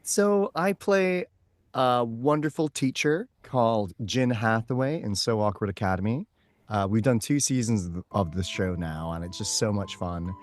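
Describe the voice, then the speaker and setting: A man's voice: fairly low pitch, moderate pace, no room sound. A man chatting casually as an interview guest, effusive and enthusiastic in how he talks. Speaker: guest, voice and screen actor. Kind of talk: casual chat and anecdote.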